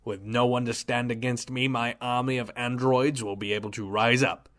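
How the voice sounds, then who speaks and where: A cold, gloating villain scientist who commands an android army, acted by a man. He speaks softly and slowly, savoring every threat in a smug, menacing drawl at an ordinary middle pitch. Audio drama. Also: flat delivery, lightly acted. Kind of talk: amateur audio drama acting.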